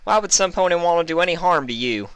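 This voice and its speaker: Man voicing a folksy, high-pitched country mare. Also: expressive, fast talker, fairly bright tone, smooth. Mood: incredulous. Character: friendly, skeptical young female farm pony with country drawl.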